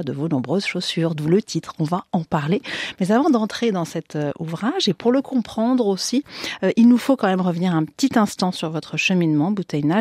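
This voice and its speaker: A woman hosting a studio interview, asking long measured questions with frequent fillers. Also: lowish voice, a little mellow, no room sound. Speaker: radio host. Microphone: studio microphone.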